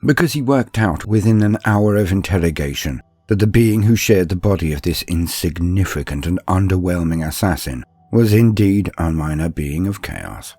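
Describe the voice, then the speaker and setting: Deep, husky male storyteller, dramatically expressive. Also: dry recording. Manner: measured, dramatic, pausing mid-sentence. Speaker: storyteller, narrator. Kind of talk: scripted first-person dramatic story narration.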